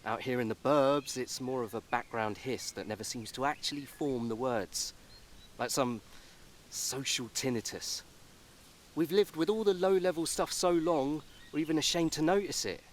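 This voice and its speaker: A man's voice: fairly high pitch, lively intonation, moderate pace, natural voice. Actor as wry, caring male friend, faint noise. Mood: earnest, sympathetic. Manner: wry, emphatic, colloquial. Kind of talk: naturalistic radio soap acting.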